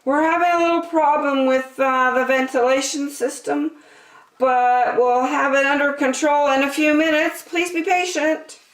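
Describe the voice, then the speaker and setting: A woman's voice: sits high, steady tone, normal speed, voice pushed up, close to an everyday voice. A woman voicing a flustered cruise director in a storybook, hesitant and placating. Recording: echoey room.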